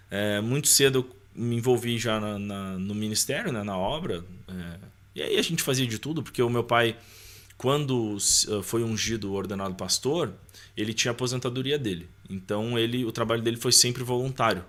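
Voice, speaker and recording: A man's voice: on the low side, fairly bright tone. A man with a Brazilian accent speaking as a podcast guest, sharing personal faith and life in an informal, conversational way, often checking for agreement.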